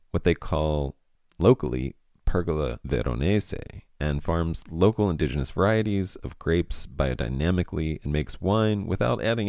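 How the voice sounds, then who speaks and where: A man hosting a podcast, reading a measured, reflective introduction and then interviewing his guest. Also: a bass voice, vocal fry, a little mellow, no echo, studio quality. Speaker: podcast host.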